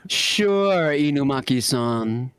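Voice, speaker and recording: A woman's voice: rumbling low, animated, slow, clear, resonant tone, heavily acted. A woman voicing a chirpy, secretive young female agent reporting in, in a giggly sing-song manner.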